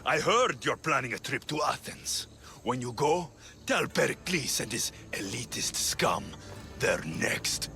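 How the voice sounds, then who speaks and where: Slow, menacing young cult warrior. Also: male voice, medium pitch, big pitch swings, gravelly, sharp tone, faint music behind, full character voice. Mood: cold, menacing. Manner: threatening, slow, taunting.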